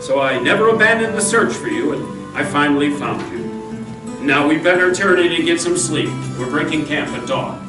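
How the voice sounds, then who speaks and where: A man plays a steadfast masked lawman of a radio western. He tells his story earnestly in a fairly high voice. He is distant in an echoey room, with music behind. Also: lively intonation, moderate pace, lightly acted. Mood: earnest, reflective. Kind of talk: old-time radio western acting.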